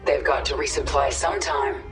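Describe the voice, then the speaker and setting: A woman's voice: deep voice, fairly even intonation, normal speed, natural voice. A cold, imperious alien swarm queen, played by a woman, from a video game. She gives terse commands in a heavily echoing voice, with music behind her. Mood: impatient resolve. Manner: terse, authoritative.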